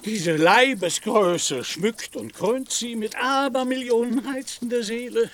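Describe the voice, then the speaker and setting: A man's voice: very high voice, unhurried, fairly bright tone, faint music behind. A man playing a pompous, deluded knight-errant in a radio play, speaking in a grandiose, solemn, declamatory way. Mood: lofty, solemn. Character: Don Quijote, pompous deluded knight-errant, lofty and devoted. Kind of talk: German radio play acting.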